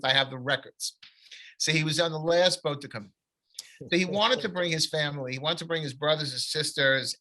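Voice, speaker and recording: A middle-aged man, an author telling long rambling stories from his family history in a relaxed conversation. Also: a little high, low-quality recording. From United States. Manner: long rambling anecdotes with restarts. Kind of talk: conversational storytelling.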